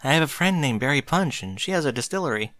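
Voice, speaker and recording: A man's voice: a little high, expressive, fast, a bit bright, dry recording. Man voicing a shy, lilting pegasus mare. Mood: friendly, helpful. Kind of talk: fan fiction storybook character voice.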